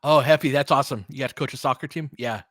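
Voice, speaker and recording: A man's voice: medium pitch, fast, fairly bright tone, no echo, clean studio sound. An American man giving casual, conversational play-by-play commentary as a stream host, analytical and explanatory in the way he talks.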